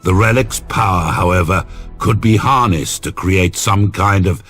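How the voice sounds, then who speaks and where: An actor playing a cold, zealous inquisitor in a game cutscene, gravelly and solemn, speaking in slow, sententious pronouncements. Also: male voice, low voice. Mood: grave warning. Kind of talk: dramatic video game cutscene acting. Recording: loud music behind the voice. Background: loud music.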